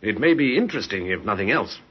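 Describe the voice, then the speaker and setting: Animated, commanding police inspector. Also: male voice, on the low side, fast talker, loud music behind the voice. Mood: musing, decisive. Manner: musing, courteous, commanding. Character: brisk, methodical male Scotland Yard police inspector. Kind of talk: old-time radio crime drama acting. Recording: dull, noisy old radio recording.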